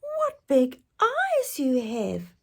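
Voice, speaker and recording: A woman voicing a small, eager, polite young girl in a storybook read-aloud, with a high, breathy girlish voice. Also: huge pitch swings, very slow, full character voice. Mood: alarmed.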